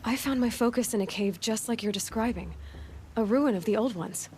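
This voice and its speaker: An actress playing a young outcast huntress in a video game, direct, inquisitive and sardonic. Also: female voice, on the high side, normal speed, a little mellow, a little hiss or hum, lightly acted. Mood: probing. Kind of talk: video game character dialogue.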